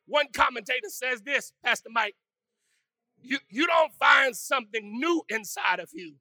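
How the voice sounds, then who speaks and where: A pastor preaching a sermon with call-and-response, swinging from hushed musing to shouted, sung climaxes. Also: male voice, very high voice, expressive, a little slow, no room sound. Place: church.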